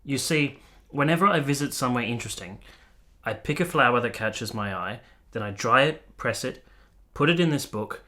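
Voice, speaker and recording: A courteous, cultured captain from a period radio drama. He speaks in formal, literary phrases at a medium pitch. His temper is wistful and reflective. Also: expressive, moderate pace, sharp tone. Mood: polite, tentative. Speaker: actor and narrator in a radio play. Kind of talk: dramatic radio play acting.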